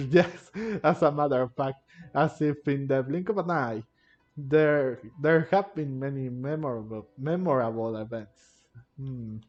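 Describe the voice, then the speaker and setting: A man's voice: medium pitch, unhurried, a little mellow. A man giving casual gameplay commentary, thinking aloud hesitantly and often repeating himself. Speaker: gaming video creator. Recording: dull, lo-fi microphone. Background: faint game music.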